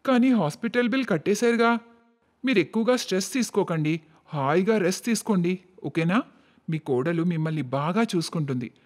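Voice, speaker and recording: Cartoon casting: courteous hospital doctor, fairly high voice, reassuring. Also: male voice, animated, fairly quick. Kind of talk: cartoon moral story voice acting.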